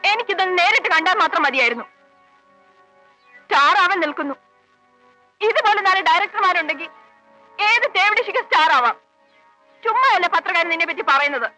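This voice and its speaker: A fierce, jealous wife. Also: female voice, very high voice, expressive, a little fast, pauses often, full character voice, poor audio. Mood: furious. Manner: insistent, sharp, accusing. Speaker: suspicious wife. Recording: dry 1980s film soundtrack, faint music.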